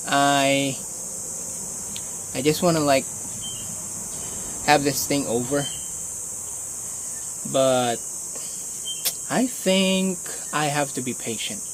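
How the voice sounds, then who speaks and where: A man's voice: a little high, a little hiss or hum, poor audio. A young man from the Philippines with a Filipino accent, speaking English as his second language in a casual, hesitant vlog on his phone, full of fillers and restarts. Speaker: vlogger.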